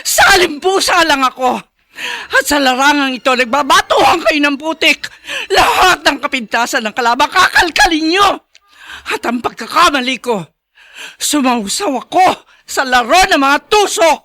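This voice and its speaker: A woman's voice: sits high, animated, normal speed, sharp tone, dry recording, exaggerated voice. An actress playing an indignant, emphatic woman accusing others and bitterly regretting, in a radio drama. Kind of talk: Tagalog radio drama acting.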